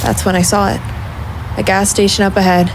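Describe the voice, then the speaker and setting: Over clear background ambience, a woman acts out a hardboiled female private investigator in a noir audio drama. She is wry and self-mocking, with a dry, sardonic edge and a mid-range pitch. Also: level tone, fairly quick, background noise, close to an everyday voice. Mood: wry, tense. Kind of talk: first-person noir audio drama narration and dialogue.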